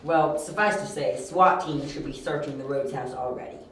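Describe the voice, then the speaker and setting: A man's voice: mid-range voice, normal speed, a bit bright, natural voice. A man playing a cocky, analytical young detective with a clipped, dry delivery in an amateur audio drama. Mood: dry, defiant. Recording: very echoey, distant, with faint hiss.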